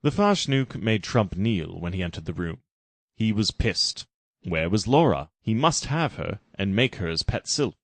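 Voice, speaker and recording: A man reading a parody fan story aloud in a deadpan narrating manner. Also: fairly low pitch, unhurried, no room sound. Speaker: reader.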